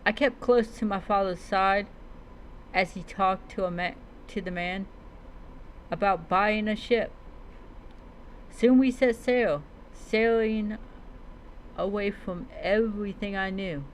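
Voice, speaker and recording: Someone slowly telling a fantasy roleplay story, kinda halting, trips on words, noisy background. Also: mid-range voice. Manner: halting reading with frequent stumbles and repeated words. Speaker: streamer narrating own roleplay character's story. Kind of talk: slow dramatic storytelling of a fantasy roleplay tale.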